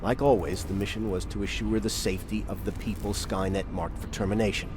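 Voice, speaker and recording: An actor playing a grave, resolute military commander in a video game, speaking in a deliberate, earnest, authoritative way. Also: male voice, lowish voice, fairly even intonation, background noise, close to an everyday voice. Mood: solemn, earnest. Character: grave, resolute male resistance commander briefing soldiers. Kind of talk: dramatic cutscene dialogue.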